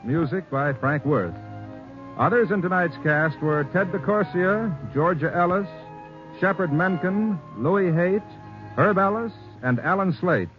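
A man's voice: sits high, lively intonation, a little slow. A man with an American accent announcing on 1950s radio, reading scripted promotion with polished, emphatic delivery. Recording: muffled 1950s radio recording, music behind.

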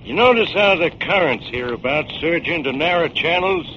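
A folksy old seadog, played by a man in a forties radio play, high-voiced and obliging. He talks in homespun rustic phrases. The old recording sounds dull. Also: animated, moderate pace, close to an everyday voice. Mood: helpful. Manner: homespun, rustic turns of speech. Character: folksy, obliging old sailor and boat renter. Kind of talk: 1940s radio drama acting. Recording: dull, slightly noisy 1940s radio recording.

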